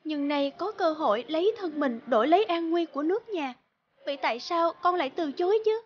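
A resolute young cartoon princess, with an extremely high voice. She is earnest, dutiful and commanding, in a slightly dull recording with some noise behind. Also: expressive, fairly quick. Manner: earnest, formal. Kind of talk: cartoon folk tale dialogue.

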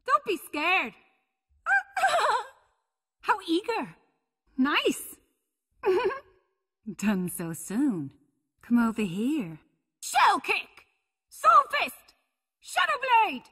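A seductive succubus from a fighting game, high-voiced and very slow to let her words go. She teases and flirts with playful menace, her pitch swooping freely. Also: huge pitch swings, bright, forceful tone, exaggerated voice. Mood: flirtatious, taunting, then excited, battle-ready. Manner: sultry, flirtatious, drawn-out delivery. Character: seductive, playful, teasing succubus demon woman. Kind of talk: video game fighting character voice clips.

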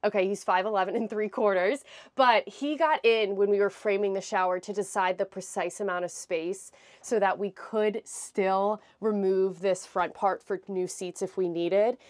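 A woman's voice: medium pitch, fairly even intonation, normal speed, no room sound. Young American woman, dry close sound. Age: young adult. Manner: chatty, explanatory. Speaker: vlogger giving a camper van tour. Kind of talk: casual walkthrough presentation. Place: inside a small camper van. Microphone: clip-on lapel mic.

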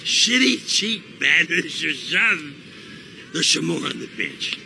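Drunk male explosives nut, reckless game character, slurred, slow, high-pitched. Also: big pitch swings, gravelly, background noise, heavily acted. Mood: drunken glee. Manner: slurred, drawling. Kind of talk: video game cutscene acting.

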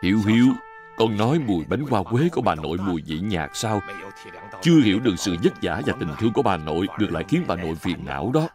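A man voicing an elderly grandfather in a dubbed cartoon, patient, measured and gently admonishing. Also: low-pitched, big pitch swings, music in the background. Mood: chiding.

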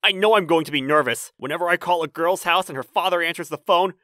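A woman's voice: fairly low pitch, fast, a bit bright, no echo, studio quality, natural voice. A woman voicing a timid, easily flustered man in his late twenties in a manga dub, narrating and speaking self-deprecatingly. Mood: nervous. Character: flustered, timid twenty-nine-year-old male office worker, narrating his life.

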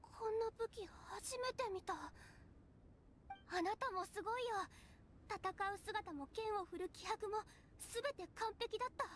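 A woman voicing a shy, earnest young female game alchemist, soft and girlish, stammering and precise in her wording. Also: extremely high pitch, a little slow, slight background noise. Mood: earnest, fascinated. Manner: hesitant stammering, formal and precise. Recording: game music behind the voice. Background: game music.

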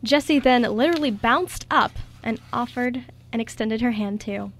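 A woman narrates a radio drama from a script. Her voice is on the high side, and she reads as a storyteller between the characters' lines. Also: normal speed, fairly bright tone.

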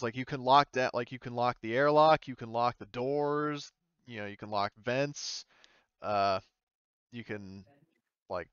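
A man with a clean, smooth voice runs a tabletop game. He explains things casually and haltingly, often trailing into asides. The recording is dry and a little dull. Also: mid-range voice, a bit bright, no room sound. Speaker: game master. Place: indoors on an online voice call.

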